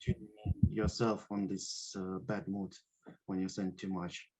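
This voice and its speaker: A man hosting a podcast and asking interview questions, with halting, non-native phrasing. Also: deep voice, level tone, a crowd in the background. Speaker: podcast host. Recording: dull lo-fi call audio.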